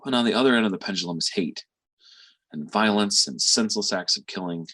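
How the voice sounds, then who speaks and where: An actor playing a weary, tender middle-aged father and physician in a reflective, halting stage monologue. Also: fairly low pitch, pushed and bright, poor audio. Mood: grave, earnest. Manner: reflective, halting with sighs and pauses.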